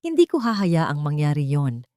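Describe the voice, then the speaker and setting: A steady male investigator in an audio story, as a female narrator puts him on. He is resolute and quietly caring. The sound is close and dry. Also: low voice, normal speed, no room sound. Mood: firm, protective. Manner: firm, resolute. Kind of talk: dramatic audiobook character voice.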